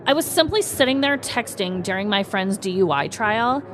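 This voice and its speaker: A woman's voice: mid-range voice, lively intonation, normal speed, fairly bright tone, natural voice. A woman voicing an indignant small-town gossip in an exaggerated, sassy way.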